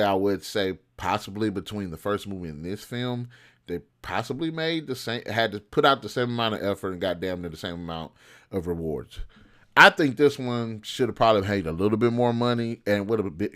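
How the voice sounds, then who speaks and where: A man's voice: fairly low pitch, a bit bright. A man hosting a film review show, giving casual, opinionated commentary in an informal, slangy, blunt way.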